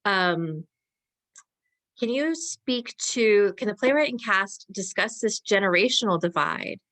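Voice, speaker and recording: A woman moderating an audience question-and-answer session, speaking in a deliberate, emphatic way. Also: medium pitch, expressive, a bit bright, no room sound. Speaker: talkback moderator.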